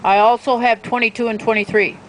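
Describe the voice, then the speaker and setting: A woman with a fairly high voice reads formal statements to an outdoor gathering, putting some in her own words. Faint traffic noise sits behind her. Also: little pitch movement, fairly quick, poor audio. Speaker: reader at a public event. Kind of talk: public reading with paraphrase. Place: outdoor town plaza.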